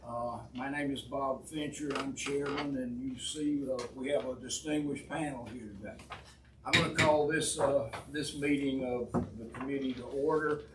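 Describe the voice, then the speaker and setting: A man's voice: mid-range voice, steady tone, normal speed. Man chairing a committee, echoey room. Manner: folksy, polite, with frequent filler uhs. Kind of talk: chairing a legislative committee meeting. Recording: echoey hearing room, distant mic, noisy.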